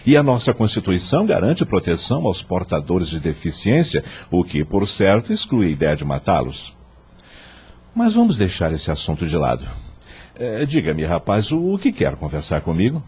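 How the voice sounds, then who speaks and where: An actor playing a wise, kindly male law professor in a radio drama, with a Brazilian accent and a husky voice, measured and moralizing. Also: low voice, wildly swooping pitch, dull audio, exaggerated voice. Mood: earnest, didactic. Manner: didactic, measured, moralizing, rhetorical questions.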